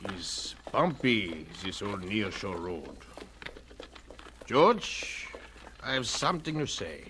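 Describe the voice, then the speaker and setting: A stern old German farmer. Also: male voice, on the low side, very animated, fairly bright tone, full character voice. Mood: earnest. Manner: earnest, halting broken English. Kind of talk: 1950s radio drama acting. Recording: hissy old radio recording.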